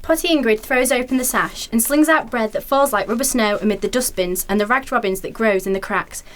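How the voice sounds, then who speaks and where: A woman's voice: on the high side, a little fast, a bit bright. A woman reading lyrical, scripted narration for a radio play.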